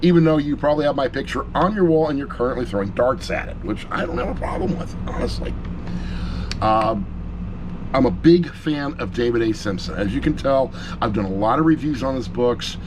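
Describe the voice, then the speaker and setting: Noisy recording, middle-aged man, average pitch, informal video review, opinionated, emphatic, repetitive phrasing, mildly sweary. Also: lively intonation, normal speed. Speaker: audiobook reviewer.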